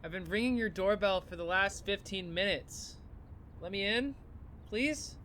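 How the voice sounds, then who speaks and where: A man playing a pushy, teasing college student in an audio drama, with an expressive, bantering delivery. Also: high-pitched, a little slow, a little hiss or hum. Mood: insistent. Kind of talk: naturalistic audio drama acting.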